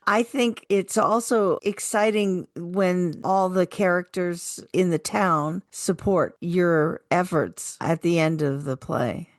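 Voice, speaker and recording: A woman's voice: fairly low pitch, slow pace, few pauses, no room sound, clean studio sound. A woman co-hosting a radio interview, asking questions and encouraging her guests. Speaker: interviewer, co-host.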